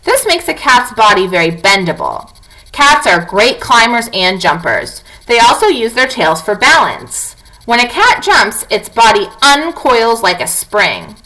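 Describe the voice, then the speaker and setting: A woman's voice: on the high side, very animated, a little slow, pushed and bright, rough recording. A woman teacher reading a non-fiction book aloud to young children, talking to them directly with questions and prompts.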